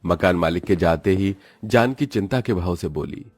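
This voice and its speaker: Deep-voiced man narrating a story. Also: moderate pace, a little mellow, dry recording, soft background music. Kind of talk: scripted dramatic narration.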